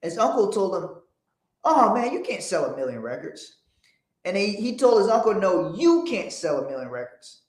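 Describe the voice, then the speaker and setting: Blunt man in an echoey room. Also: high voice. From Liberia. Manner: blunt, profane, emphatic. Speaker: host. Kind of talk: motivational livestream talk.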